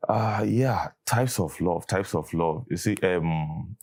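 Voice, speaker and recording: Deep voiced nigerian guy, igbo speaker, thinking out loud in an interview, lots of ums. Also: takes it slowly, slightly rough. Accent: Nigerian English. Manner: thoughtful, hesitant, with fillers and restarts. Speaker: guest, catechist. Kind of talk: conversational interview.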